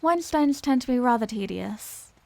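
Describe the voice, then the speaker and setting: A woman's voice: fairly high pitch, animated, normal speed, vocal fry, a little mellow, no echo. A woman playing a refined, courteous Victorian vampire lady in an audio roleplay, speaking in formal, old-fashioned phrases. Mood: courteous, caring. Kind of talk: ASMR audio roleplay, scripted character acting.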